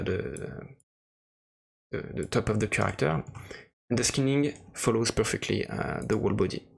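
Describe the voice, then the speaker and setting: A man who speaks English as a second language, presenting an informal software demo in a halting, hesitant way with frequent pauses. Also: lowish voice, vocal fry. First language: non-native English speaker.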